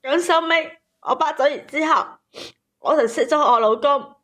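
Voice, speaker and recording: A woman's voice: high-pitched, normal speed, fairly bright tone. A woman calling in to tell a personal story, repeating phrases for emphasis. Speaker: caller telling her story. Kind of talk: emotional personal storytelling to a host.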